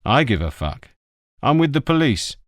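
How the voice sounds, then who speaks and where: A man's voice: medium pitch, sing-song, normal speed, no echo, studio quality, natural voice. A man reading an audiobook, voicing a terse male police inspector who speaks curtly and bluntly. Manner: curt, blunt. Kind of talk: audiobook character voice.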